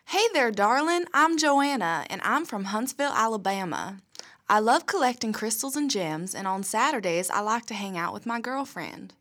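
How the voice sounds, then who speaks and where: A woman's voice: fairly high pitch, expressive, normal speed, fairly bright tone. A woman playing a friendly, folksy Southern woman from Alabama in a storybook.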